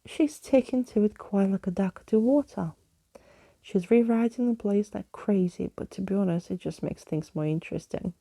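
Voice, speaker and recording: A woman's voice: mid-range voice, normal speed, mellow, no room sound, natural voice. A woman voicing an eager, imaginative young girl in a children's story, with informal, direct speech. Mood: cheerful.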